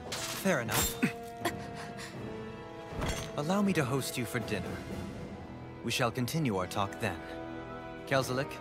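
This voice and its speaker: An actor voicing a courteous, self-assured lord in a video game, speaking formally and eloquently in measured phrases. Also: male voice, medium pitch, big pitch swings. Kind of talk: video game cutscene acting. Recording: loud music behind the voice. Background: music.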